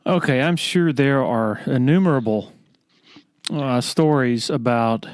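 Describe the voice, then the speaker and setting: A man's voice: medium pitch, a little slow, clean tone, no room sound. Man interviewing, dry close sound. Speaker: podcast host and interviewer. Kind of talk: casual interview chat.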